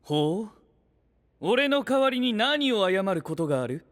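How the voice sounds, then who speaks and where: A man's voice: high voice, very animated, measured pace, a bit bright, heavily acted. A male voice actor playing a haughty, suspicious young inventor in an anime-style audio drama, speaking in a stiff, formal, lecturing way.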